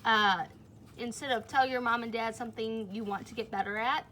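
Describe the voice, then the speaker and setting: A woman's voice: a little high, fairly even intonation, normal speed, bright, forceful tone, a little hiss or hum. A woman reading a children's storybook aloud like a teacher, with simple explanations and questions for young listeners.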